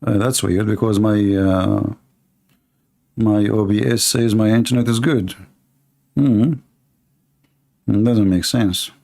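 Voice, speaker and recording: Dark-voiced mocking man with an Arabic accent. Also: on the low side, steady tone, slow, creaky, pauses often. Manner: mocking, repetitive, with rhetorical questions. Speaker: live stream host and religious polemicist. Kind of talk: informal live teaching with mocking commentary.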